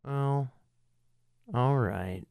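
A man's voice: mid-range voice, extremely slow, creaky, a little mellow, smooth. A man playing sidekick in casual comic banter, with a hesitant, sheepish, offhand slacker delivery.

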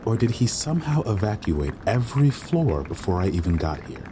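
A man's voice: lowish voice, sing-song, brisk, noisy background. A man playing a guilt-ridden, self-doubting young waiter with secret powers in an audio drama, brooding and hesitant. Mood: bitter, frustrated. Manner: brooding, rueful, hesitant with others. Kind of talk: audio drama acting with inner monologue.

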